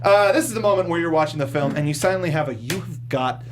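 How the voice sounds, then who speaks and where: A man hosting a casual film discussion panel, animated and quick to chuckle as he talks. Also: fairly high pitch. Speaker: talk show host.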